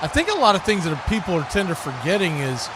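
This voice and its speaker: High-pitched man calling football, noisy stream. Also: sing-song, fast, crowd noise. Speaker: livestream host and play-by-play commentator. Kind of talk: live football play-by-play mixed with casual chat to viewers.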